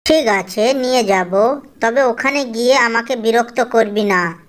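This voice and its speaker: A caring mother bird from a children's cartoon fable, acted by a woman. Her voice sits fairly high, and she speaks as a hardworking parent looking after her little one. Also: a little slow, close to an everyday voice. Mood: firm, motherly. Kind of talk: Bengali children's cartoon dubbing.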